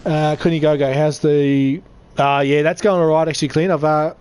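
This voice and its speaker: Croaky upbeat race commentator man. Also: on the high side, level tone, normal speed, a little mellow. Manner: upbeat, chatty, reads out chat. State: losing his voice, croaky. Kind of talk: live sim racing commentary.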